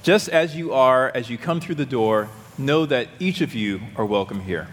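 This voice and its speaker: A man's voice: mid-range voice, lively intonation, normal speed. A man pastor addressing the congregation and welcoming new members into the church. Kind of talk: church service leading. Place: large church sanctuary. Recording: church hall reverb with congregation noise.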